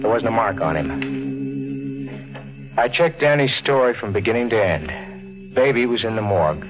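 An actor playing a level-headed, skeptical reporter in a 1940s radio drama, measured and matter-of-fact. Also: male voice, medium pitch, lively intonation, natural voice. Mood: grave. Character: level-headed, skeptical male newspaper reporter telling a story. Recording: tinny vintage radio recording, loud music.

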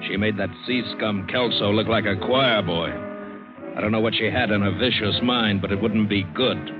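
An actor playing a hard-boiled, cynical adventurer who narrates an old radio drama, terse and bitter. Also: male voice, fairly low pitch, normal speed, lightly acted. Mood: bitter, calculating. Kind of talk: 1940s-50s radio drama narration and acting. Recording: dull old radio broadcast with music.

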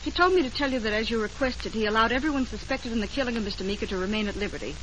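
A helpful, chatty receptionist played by a woman from a vintage radio mystery. Her voice is fairly high and her talk is informal. There is faint noise on the old recording. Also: very fast, natural voice. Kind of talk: 1940s radio drama acting. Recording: slightly noisy late-1940s radio recording.

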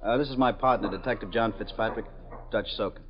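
An actor playing a steady police detective in a 1950s radio drama, firm and businesslike. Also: male voice, on the low side, quick pace, lightly acted. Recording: dull old radio broadcast.

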